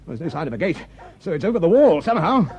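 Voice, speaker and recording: An actor playing a chatty, self-assured police detective with a British accent, discursive and opinionated in radio drama dialogue. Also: male voice, a little high, big pitch swings, fast talker, a little mellow, breathy edge, heavily acted. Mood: brisk, urgent.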